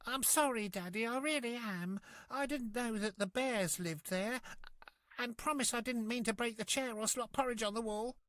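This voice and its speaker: An earnest, polite little girl from a children's storybook, as a male narrator plays her. The voice is pitched up high and childishly exclamatory, on a dry recording. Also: normal speed, fairly bright tone, no echo. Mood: apologetic. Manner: exclamatory, hesitant when explaining.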